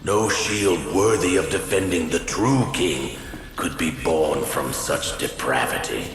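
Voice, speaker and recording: Ancient warrior, cavernous deep echo. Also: male voice, slow, slight background noise. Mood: scornful. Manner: solemn, archaic, pronouncing. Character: ancient undead male warrior, grim, scornful judge. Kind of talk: video game cutscene acting. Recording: distant voice in echoing hall, music.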